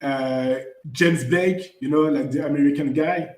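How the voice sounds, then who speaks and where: A man's voice: on the high side, measured pace, darkish tone, reverberant. A man co-hosting a podcast, talking casually with frequent "you know" fillers and restarts. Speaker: podcast co-host.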